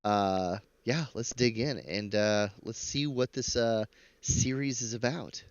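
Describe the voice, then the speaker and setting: A man's voice: medium pitch, slow, highs cut off, a little hiss or hum. A man hosting a casual live stream, giving chatty, rambling, jokey reaction commentary into a close mic.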